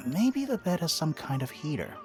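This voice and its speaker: Curious playful detective in a video game, very animated pitch, music clearly behind him. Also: male voice, normal speed. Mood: playful, inquisitive.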